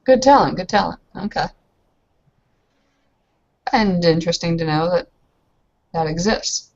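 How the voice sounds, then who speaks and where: A woman playing an eager, proud female werewolf newcomer in a roleplaying game, excitable and halting. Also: lowish voice. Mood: curious. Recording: dull lo-fi voice recording.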